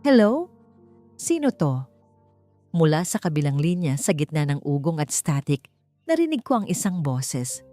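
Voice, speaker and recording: A woman narrating a dramatic first-person audiobook story in an emotive, deliberate manner. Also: low-pitched, expressive, mellow, soft background music.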